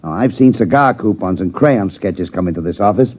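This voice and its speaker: An actor playing a sour, exasperated veteran chief inspector, grumbling and sardonic, in a 1950s radio drama. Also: male voice, low voice, lively intonation, brisk, slightly rough. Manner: grumbling, blustery, sardonic speechifying. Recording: muffled old radio recording.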